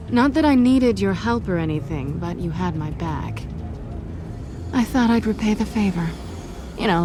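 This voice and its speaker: An actress playing a young, sardonic thief with a sly, flippant manner in a dramatic game. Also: female voice, lowish voice, noisy background. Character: cocky, sardonic female thief, young adult.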